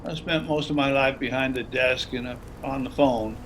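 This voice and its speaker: A man's voice: mid-range voice, moderate pace, fairly bright tone, slight background noise, natural voice. A man playing an older, folksy retired salesman and widower in a zombie drama table read. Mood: wistful, sad. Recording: video-call read over music.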